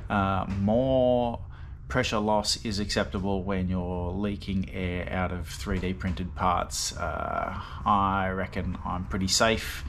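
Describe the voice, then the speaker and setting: A man casually narrating his motorbike rebuild in a relaxed, rambling way, with lots of fillers and dry self-deprecating asides. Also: low-pitched, slow pace, slight background noise. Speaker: motorbike restoration vlogger. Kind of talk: casual workshop voice-over narration.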